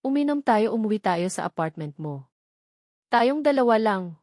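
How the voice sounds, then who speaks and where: A distraught young woman in a romance story , as a woman narrator plays her. She pleads desperately and haltingly, in a dry, close recording. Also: mid-range voice, big pitch swings, a little mellow, no echo, natural voice. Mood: desperate, insistent. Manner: stammering, desperate.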